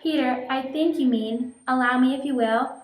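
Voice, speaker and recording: A woman's voice: sits high, normal speed, lightly acted. A woman playing a placating, deferential mayor's aide in a radio play. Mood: fawning. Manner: deferential, correcting a partner. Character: smooth-talking, placating, obsequious mayor's aide. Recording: echoey room, faint noise.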